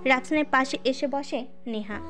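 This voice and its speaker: A woman's voice: high-pitched, moderate pace. A woman reading a scripted dramatic audio story as its narrator. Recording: music under the voice. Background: music.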